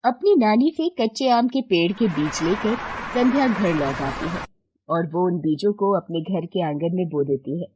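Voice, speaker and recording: A woman's voice: lowish voice, animated, normal speed, noisy background. A woman reading scripted narration for a children's moral story as the storyteller.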